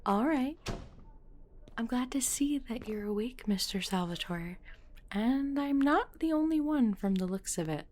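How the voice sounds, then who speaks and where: A woman's voice: on the high side, lively intonation, a little slow, darkish tone. A woman playing a polite, reassuring hospital nurse who explains things in an audio roleplay.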